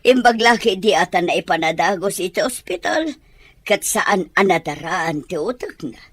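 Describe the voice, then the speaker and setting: An actress plays a well-off middle-aged Filipina mother in an audio drama. Her pitch swings in a lively way as she complains and dismisses the help. The sound is close and dry. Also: moderate pace, husky, no echo. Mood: distressed, gossipy.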